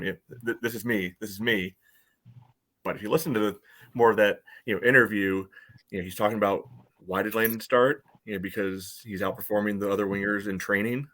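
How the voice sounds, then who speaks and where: A man with a low voice talks through a casual sports podcast. He rambles loosely and leans on filler phrases between his points. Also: a bit bright. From United States. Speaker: podcast co-host.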